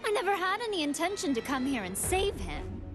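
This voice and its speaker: A sadistic android villainess, high-pitched and haughty. Her taunts swing up and down with smug theatrical cruelty. Music plays behind this anime game voice. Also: sing-song, a little fast. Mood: cool contempt. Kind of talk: English anime video game voice acting. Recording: loud music under the voice.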